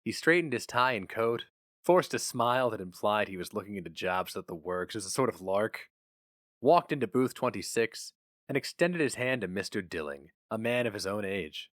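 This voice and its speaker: Guy reading a story dramatically, lowish voice, really animated delivery. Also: moderate pace, dry recording, studio quality. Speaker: story reader. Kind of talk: dramatic audiobook narration.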